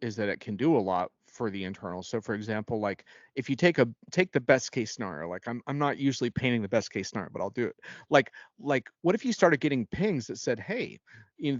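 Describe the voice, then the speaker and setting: A man's voice: medium pitch, no echo, muffled recording. A man talking casually and emphatically in a panel discussion, often acting out imagined remarks.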